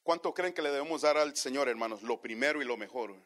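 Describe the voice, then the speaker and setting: A man's voice: medium pitch, quick pace, a bit bright. A pastor preaching to his congregation, saying each line in one language and then repeating it in the other, and often calling his listeners brothers. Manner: keeps addressing the congregation as brothers. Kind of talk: bilingual sermon.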